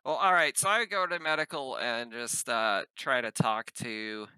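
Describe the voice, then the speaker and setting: A man's voice: mid-range voice, moderate pace, bright, forceful tone, clear, resonant tone, dry recording, poor audio. A man chatting casually as a player in a tabletop roleplaying game.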